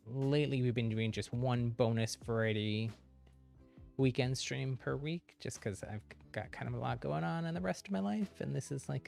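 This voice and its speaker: A man hosting a game livestream, explaining things casually in a rambling, easygoing way with a gravelly voice. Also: medium pitch, a little mellow.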